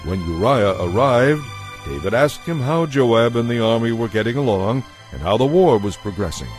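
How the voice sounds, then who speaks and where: A man narrating a dramatized audio Bible from a script, in a measured storytelling manner. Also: medium pitch, animated, soft background music.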